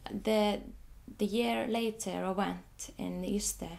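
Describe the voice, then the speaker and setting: An Icelandic woman, a young adult, speaks English as her second language. She talks haltingly and repeats words as she restarts her sentences. Her voice is dark, a little gravelly, and fairly flat, with a faint hiss in the background. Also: mid-range voice. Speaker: artist. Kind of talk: interview, describing her photographs.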